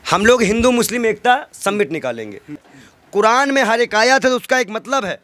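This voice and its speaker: A man's voice: extremely high pitch, animated, normal speed, a bit bright, no room sound. A man giving an impassioned political interview, arguing indignantly with rhetorical questions and emphatic repetition.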